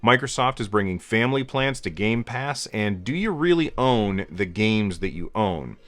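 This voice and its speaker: A man in his forties, hoarse and stuffed up from a cold, hosting a gaming news show in a chatty way with many fillers. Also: lowish voice, lively intonation, moderate pace, a bit bright, no echo. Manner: gestures with his hands while talking. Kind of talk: casual video commentary. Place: home game room lit with colored lights.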